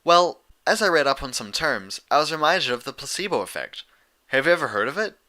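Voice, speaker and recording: A bookish young mare from a storybook, voiced by a man, earnest and slightly awkward. She explains eagerly in a lifted, animated voice with a crisp bright edge. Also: a little high, fairly quick, voice pushed up. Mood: excited.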